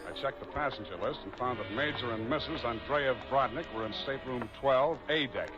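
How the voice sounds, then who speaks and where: A man acts out a wry, hardboiled private eye narrating his case in a radio play. His delivery is dry and deadpan at a middle pitch. The old broadcast sounds dull, with music underneath. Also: fairly quick, natural voice. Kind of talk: 1940s radio drama narration.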